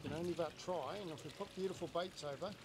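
High-voiced New man fishing. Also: quick pace, noisy background. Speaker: fishing companion. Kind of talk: casual chat while fishing. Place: on a small boat at sea, outdoors. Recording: loud music under the voice.

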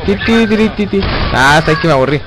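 Young chilean guy gaming w friends, swears a lot, voice jumps around, loud game music behind. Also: a little high, lively intonation, a little fast, rough recording. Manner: slangy, heavy swearing. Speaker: gamer playing with friends. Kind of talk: casual gameplay chat. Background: loud game music and sound effects.

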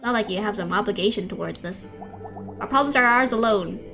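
A woman's voice: medium pitch, a little fast, pushed and bright. A young woman livestreaming, casually reading visual novel dialogue aloud with stumbles, rereads and offhand asides. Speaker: game streamer. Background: game music.

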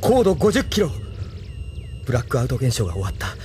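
A man's voice: mid-range voice, huge pitch swings, a little fast, a little mellow, a little hiss or hum. A man playing a brave, idealistic young mecha pilot in an audio drama, thinking aloud dramatically. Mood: focused. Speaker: voice actor in radio drama. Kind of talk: anime-style audio drama acting.

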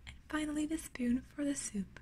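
A woman hosting an ASMR unboxing, whispering breathily and gently, sounding delighted, close to the mic at a table indoors. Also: high-pitched, moderate pace, a little hiss or hum.

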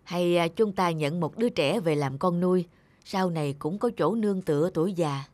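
A man voicing a kindly older businessman husband in a cartoon, measured and calmly authoritative. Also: sits high, no echo, soft background music, close to an everyday voice. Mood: thoughtful. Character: kindly, composed grey-haired businessman husband in late middle age. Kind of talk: animated cartoon dubbing.

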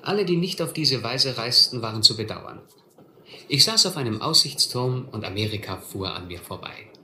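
An actor playing a reflective narrator who recalls his hobo youth in a radio play, measured and literary. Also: mid-range voice, lively intonation, natural voice. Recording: roomy, clearly noisy radio play recording.

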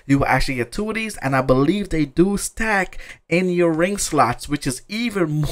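An upbeat male gamer narrates a loot showcase in a fairly high voice. His throat is sore, so it comes out a little raspy. He talks in a friendly, salesy way and keeps thanking his viewers. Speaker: gaming YouTuber selling loot packages. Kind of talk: casual gameplay showcase commentary. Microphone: voice-over over gameplay.

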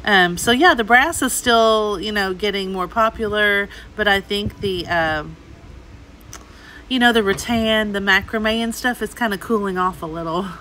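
A woman's voice: medium pitch, measured pace, bright, forceful tone, a little hiss or hum, poor audio. A middle-aged woman giving casual, chatty shopping vlog commentary, gushing over the items she looks at. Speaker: host, antiques reseller. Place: inside an antique mall.

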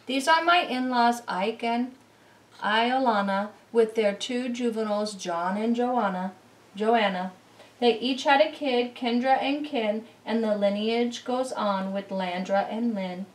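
A middle-aged woman reading a rhyming picture book aloud to children in an expressive, sing-song way. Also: fairly high pitch, measured pace. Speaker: read-aloud storyteller for children. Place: room at home beside a Christmas tree. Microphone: camera mic at some distance. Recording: roomy, distant camera recording.

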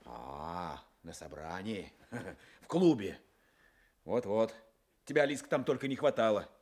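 Husky, sarcastic young peasant. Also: male voice, lowish voice, animated, takes it slowly, darkish tone. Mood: sarcastic, scolding. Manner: mocking, needling, colloquial village speech. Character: surly, sarcastic young peasant man, head of household. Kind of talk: Soviet radio drama acting.

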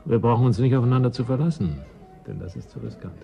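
An actor playing a cynical, calculating settlement dweller in a science-fiction radio play, sardonic and insinuating. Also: male voice, medium pitch, quick pace, creaky, mellow, faint music behind, close to an everyday voice. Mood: sly, calculating. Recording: dull lo-fi radio play recording.

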